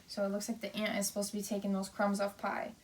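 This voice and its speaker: A woman's voice: mid-range voice, completely flat delivery, fast, recorded from a distance. A woman teacher leading an interactive read-aloud for children, explaining simply and asking questions.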